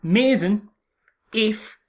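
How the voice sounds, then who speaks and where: A man's voice: sits high, slow pace, darkish tone. A man co-hosting a casual comedic film discussion, rambling and sarcastic, slipping into mock quotes. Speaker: podcast co-host reviewing films.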